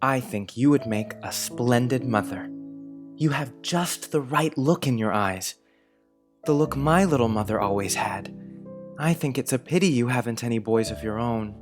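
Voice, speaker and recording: A man voicing an earnest, dreamy boy of about eleven in a storybook reading, speaking gravely and confidingly. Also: medium pitch, lively intonation, normal speed, over music, natural voice. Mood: grave, curious. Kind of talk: dramatized audiobook character reading.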